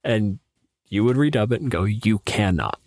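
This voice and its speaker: A man's voice: lowish voice, expressive, normal speed, a little mellow, no echo. A man speaking casually as a podcast co-host and game master, with a blunt, teasing, sweary manner.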